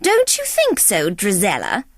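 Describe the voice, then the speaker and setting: A woman's voice: mid-range voice, huge pitch swings, a little slow, no echo, studio quality. A woman voicing a haughty, cruel middle-aged storybook stepmother with condescending, falsely polite phrasing. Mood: slyly polite.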